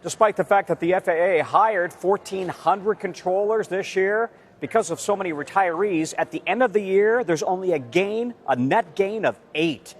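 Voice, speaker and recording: A man's voice: high-pitched, normal speed. A man with an American accent delivering a scripted television news report as a correspondent.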